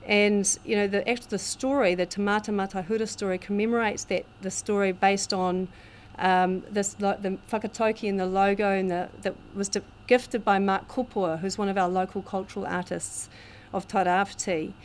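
Kiwi woman introducing the speaker, kinda halting, faint room ambience behind. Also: medium pitch, steady tone, slight background noise. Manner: halting, many fillers and restarts. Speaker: event host introducing the speaker. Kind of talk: spoken introduction.

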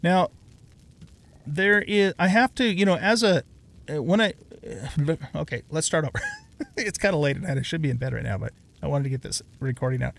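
A man's voice: a little high, animated, fairly quick, gravelly, a bit bright. A middle-aged man giving casual let's play commentary as a YouTube host, rambling and thinking aloud as he plays.

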